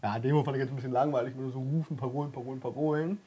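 A man's voice: medium pitch, steady tone, very fast, mellow, a little hiss or hum, close to an everyday voice. A man in his thirties playing a naive, childlike slacker who asks blunt questions with drawling fillers. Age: 30s. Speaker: comedic interview guest. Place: small cluttered studio room, indoors.

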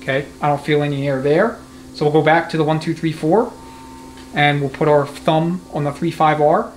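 Male mechanic, slightly high pitch, talks through a hands-on demo step by step, light shop noise behind. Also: steady tone, normal speed, rough recording. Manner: conversational, methodical explaining. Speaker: transmission mechanic giving a demonstration. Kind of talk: hands-on workshop tutorial, unscripted explanation. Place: indoor transmission repair workshop. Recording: clear background noise of a workshop.

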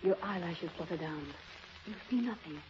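An actress playing a sly, flirtatious, worldly former secretary with a condescending, theatrical manner in a 1930s radio drama. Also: female voice, on the low side, moderate pace, lightly acted. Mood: sultry, teasing. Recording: muffled, noisy old 1930s radio recording.

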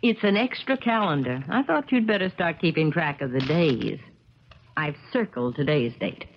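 Frank, animated friend. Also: female voice, sits low, moderate pace. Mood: breezy. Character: frank, practical woman, skeptical friend. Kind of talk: 1970s radio drama acting. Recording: crackly, dull 1970s radio recording.

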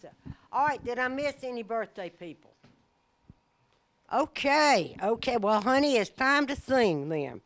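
A woman's voice: on the high side, sing-song, a little slow. An older woman hosts a birthday gathering, teasing and chatting fondly with a handheld mic in a community room. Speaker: event host. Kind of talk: casual hosting with a handheld mic. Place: community center party room, indoors.

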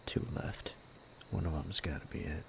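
A man voicing a sadistic male bandit captor in an ASMR roleplay, low and gravelly, often whispering in a menacing, playful way. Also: moderate pace, full character voice. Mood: gleefully sadistic. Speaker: voice actor.

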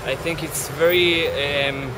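Earnest guy in his 30s, bit high voice, stops and restarts a lot, street noise behind. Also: fairly even intonation. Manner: earnest, halting, searching for words. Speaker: street interviewee. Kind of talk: street interview answers. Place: outdoors on a street at night.

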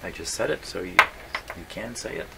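A man's voice: low voice, expressive, a little fast. A man thinking aloud casually as he narrates radio repair work in his workshop, musing and wryly self-deprecating. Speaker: radio repair hobbyist, host. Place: indoor electronics repair workshop. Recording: clear background noise in workshop.

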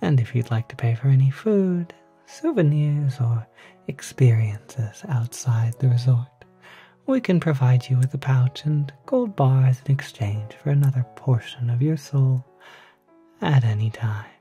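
Man as a breathy, soft-spoken courteous demon concierge. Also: mid-range voice, expressive, measured pace, soft background music, exaggerated voice. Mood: pleased, courteous. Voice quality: soft, breathy, half-whispered. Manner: polite, hesitant, gently persuasive. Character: courteous demon concierge, smooth-talking, quietly sinister. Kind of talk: ASMR roleplay.

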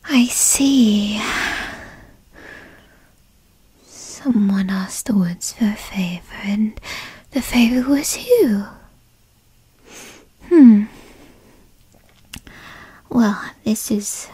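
A woman playing a young, bratty but timid female fox spirit in an ASMR roleplay, breathy and stammering. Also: medium pitch, lively intonation, dark tone, dry recording, heavily acted. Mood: defensive, haughty. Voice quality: breathy, often whispery. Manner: halting, stammering, skittish. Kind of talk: ASMR roleplay, scripted character acting.

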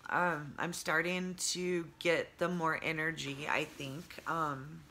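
Congested hoarse woman rambling at home. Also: low voice, level tone, slow pace, bright, forceful tone, slight background noise. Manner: rambling, many fillers, talks with her hands. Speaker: vlogger. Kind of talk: casual video diary. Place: home room with low sloped ceiling. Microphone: phone camera close up, earbud headset.

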